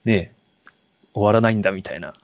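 A man's voice: on the low side, fairly quick, dry recording. A man co-hosting a podcast, chatting casually about films in polite, somewhat hesitant speech. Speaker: podcast co-host. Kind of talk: casual film chat.

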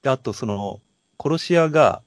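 A man co-hosting a podcast, talking casually about films in a halting, storytelling way. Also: medium pitch, animated, dry recording. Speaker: podcast co-host. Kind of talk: casual film discussion.

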